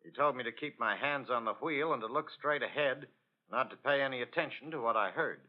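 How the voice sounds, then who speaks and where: An actor playing a respectful, plain-spoken chauffeur giving a matter-of-fact account in a 1940s radio drama. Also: male voice, medium pitch, brisk, close to an everyday voice. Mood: nervous recounting. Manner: deferential, matter-of-fact recounting. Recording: muffled old radio broadcast.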